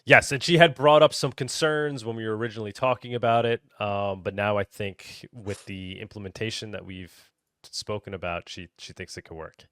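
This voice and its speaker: Male developer, casual podcast explainer, lowish resonant voice, dry sound, keeps seeking agreement. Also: fairly even intonation, normal speed, fairly bright tone, clean tone, no echo. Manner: explanatory, often checking with "right?". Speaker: marketplace developer explaining features.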